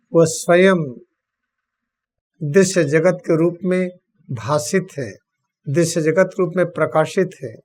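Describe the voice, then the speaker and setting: A man with an Indian accent leads a guided meditation, speaking solemnly and deliberately in short phrases with long pauses. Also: fairly high pitch, slight background noise. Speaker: spiritual teacher leading guided meditation.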